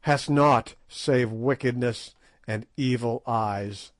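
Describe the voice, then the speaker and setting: Stern king, read by a man: grave, deliberate, authoritative. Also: medium pitch, dry recording, close to an everyday voice. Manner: solemn, commanding. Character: stern young African king, commanding. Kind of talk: audiobook character voice.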